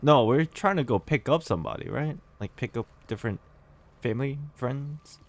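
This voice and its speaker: A woman's voice: extremely low pitch, lively intonation, moderate pace. A woman giving casual let's play commentary as a game streamer, musing aloud to herself.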